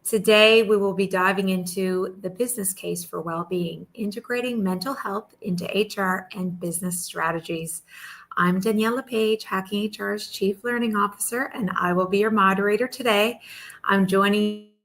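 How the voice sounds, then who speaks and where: Bright upbeat woman moderator. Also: mid-range voice, moderate pace. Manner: upbeat and welcoming. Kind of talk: webinar panel moderating.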